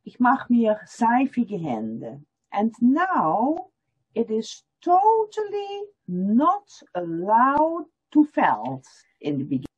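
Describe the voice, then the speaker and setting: Patient woman demonstrating a craft on a video call; slow, expressive delivery, dull audio. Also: fairly high pitch, slightly rough. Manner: explains step by step, repeating lines in German. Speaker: felting teacher. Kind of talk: online workshop demonstration.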